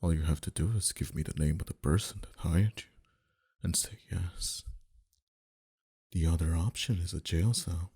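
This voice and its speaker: A man playing a smug, flirtatious and menacing mafia boss in an intimate ASMR roleplay, dominant and teasing, sometimes dropping to a breathy whisper. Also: moderate pace, full character voice. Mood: flirtatious, coaxing. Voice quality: very deep, dark, husky.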